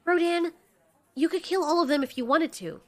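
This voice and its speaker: A woman's voice: very high-pitched, normal speed, voice pushed up. A woman playing a regal female moth monster in an audio drama, stern and protective, speaking in a firm, composed way. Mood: firm, argumentative.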